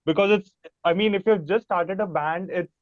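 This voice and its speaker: An Indian-accented man talks casually as a musician guest, rambling with informal enthusiasm. His voice is slightly high for a man. The sound is narrow and phone-like, with little room around it. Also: moderate pace, no room sound. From Mumbai, India. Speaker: musician, band member being interviewed. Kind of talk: casual chat. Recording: thin phone-like sound, fairly dry.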